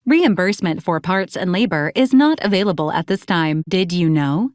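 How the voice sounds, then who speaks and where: A woman's voice: lowish voice, lively intonation, normal speed, darkish tone, no room sound, studio quality, lightly acted. A woman playing a polite, literal robot assistant unit in a video game, speaking formally and precisely with clinical detachment. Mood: formal, detached. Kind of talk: scripted video game character lines.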